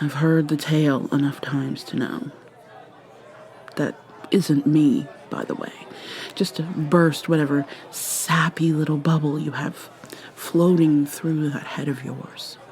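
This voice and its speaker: Ageless guarded vampire mermaid woman, played by a woman, whispery, slow, halting, faint crowd murmur. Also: sits low. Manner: soft-spoken, often whispering, halting restarts. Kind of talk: ASMR roleplay.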